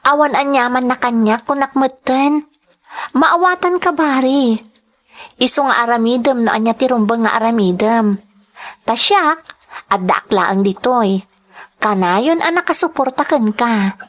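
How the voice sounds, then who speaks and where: Supportive, devoted young female sweetheart, acted by a woman, clearly high voice, Ilocano accent, emotional drama delivery. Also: a little slow, long pauses, close to an everyday voice. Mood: reassuring. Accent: Filipino, Ilocano. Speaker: drama actress. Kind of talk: sentimental radio-style drama acting.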